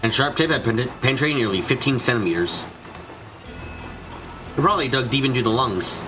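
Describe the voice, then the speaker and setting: A man's voice: mid-range voice, expressive, fairly quick. A man reading dramatic scripted narration for a visual novel fan dub, now and then stumbling over the lines. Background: background music.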